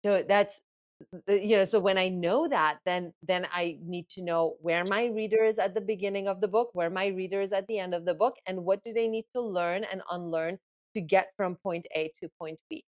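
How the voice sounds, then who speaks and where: A woman whose first language is Farsi, a guest in an interview, explaining her method in lively bursts and acting out inner thoughts. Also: medium pitch, moderate pace. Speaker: guest author and ghostwriting coach. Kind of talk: casual interview talk.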